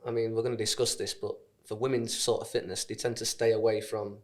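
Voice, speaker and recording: A man's voice: fairly low pitch, little pitch movement, fast talker. A man hosting a podcast in casual interview chat, with a teasing, bantering manner. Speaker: podcast host.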